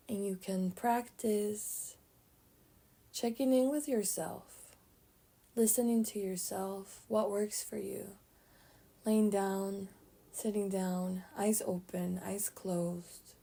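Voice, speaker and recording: A woman guides a meditation at a very slow pace, in short, gently placed phrases. Her voice is mellow and a little creaky, with soft, breathy endings that trail off between pauses. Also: medium pitch.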